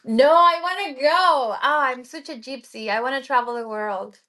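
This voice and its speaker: An upbeat woman, chatting as a guest on a livestream. Her voice sits somewhat high for a woman, and she laughs easily between her words. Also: normal speed, sharp tone, a little hiss or hum. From Ecuador. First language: Spanish. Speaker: livestream guest, sound healer. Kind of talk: casual livestream chat.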